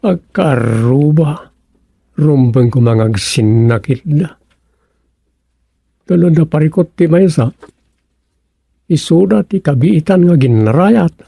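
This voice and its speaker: A man's voice: mid-range voice, gravelly, warm tone, slightly rough, no echo, heavily acted. A man with an Ilocano accent playing a grumbling villager who frets over his neighbours in a radio comedy drama.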